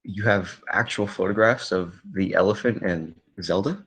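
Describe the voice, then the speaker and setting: Off-duty clown for radio drama , male actor: low voice, grave, courteous, hesitant. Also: moderate pace, a bit bright, slightly rough, full character voice. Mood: grave, intent. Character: solemn, polite male circus clown out of makeup. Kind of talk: 1940s radio play acting.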